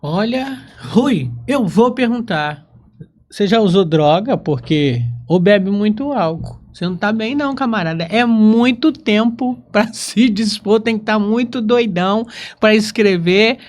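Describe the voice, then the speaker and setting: A woman's voice: medium pitch, animated, unhurried, a little mellow. A woman with a Brazilian accent hosting a casual interview, with a playful, teasing way of talking. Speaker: podcast host.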